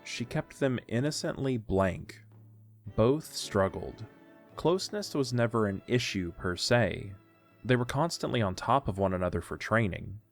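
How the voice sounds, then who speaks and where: A man's voice: fairly low pitch, animated, unhurried, soft background music. A man narrating a scripted story for an audio drama.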